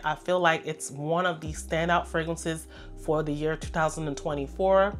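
Female vlogger, lower register, nasal and a bit hoarse from a cold, enthusiastic chatty reviewer, faint music bed. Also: a bit bright. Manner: chatty, enthusiastic, addresses viewers as "you guys". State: congested and a little hoarse from a cold. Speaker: fragrance reviewer. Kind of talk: casual product review to camera.

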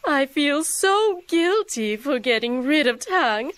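Casting: imperious cartoon lady of the house, theatrical, swooping high voice, music behind. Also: animated, a bit bright, dry recording, exaggerated voice. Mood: guilty, dramatic. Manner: grand, melodramatic, drawn-out. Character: haughty, dramatic Chinese magistrate's wife. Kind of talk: animated children's cartoon acting.

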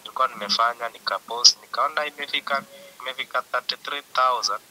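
A man's voice: mid-range voice, quick pace, bright, forceful tone. A young man with a Kenyan accent, chatting casually as a guest over a phone call. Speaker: upcoming musician, phone guest.

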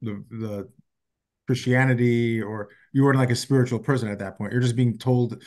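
Man with halting, mid-pitched interviewer voice. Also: steady tone, darkish tone. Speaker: podcast co-host. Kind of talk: casual interview questioning. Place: small padded studio.

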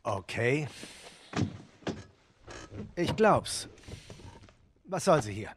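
Wary, serious adult man for game drama, mid-pitched, guarded, bluntly resentful. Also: sing-song, drawn-out words, noisy background. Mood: skeptical. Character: wary, resentful younger brother, rugged adult male survivor. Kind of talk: dramatic video game acting.

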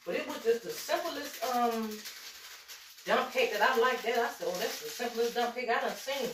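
A woman's voice: fairly high pitch, moderate pace, background noise. A woman in her forties with a slight cold, making a casual, chatty cooking vlog in her home kitchen and calling her viewers y'all. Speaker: cooking vlogger. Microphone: camera a few steps away. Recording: roomy kitchen echo, mic at a distance.

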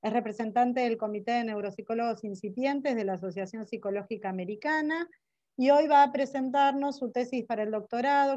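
A woman's voice: on the high side, dark tone, no room sound. A woman moderating a symposium, formally introducing the next speaker over a video call. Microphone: laptop microphone on video call. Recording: dry, muffled video call audio.

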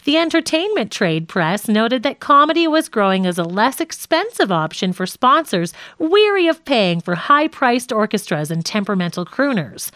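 A woman reading a scripted nonfiction audiobook in a measured, articulate way. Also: a little high, big pitch swings, fairly bright tone, no room sound, studio quality. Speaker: audiobook narrator.

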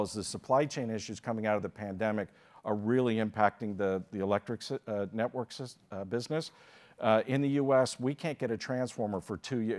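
An older American man speaking at a lectern microphone on a conference stage, giving a keynote with measured delivery and frequent filler pauses. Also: fairly low pitch, a little mellow. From United States.

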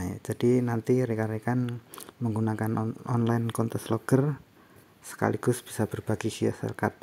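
Low, creaky man giving instructions. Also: darkish tone. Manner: halting, instructional, often checking with "ya". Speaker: tutorial presenter. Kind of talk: unscripted step-by-step software walkthrough. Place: voice-over on a screen recording. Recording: faint background noise.

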